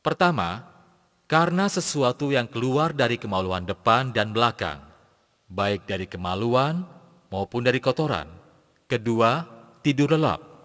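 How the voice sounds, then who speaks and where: A man's voice: medium pitch, unhurried, long pauses, fairly bright tone, close to an everyday voice. A man playing a patient Islamic teacher in an educational cartoon, explaining step by step in speech. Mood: patient, instructive. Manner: methodical, instructive, enunciated step by step. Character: patient male Islamic teacher instructing young pupils. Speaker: religious teacher. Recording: long hall-like reverb.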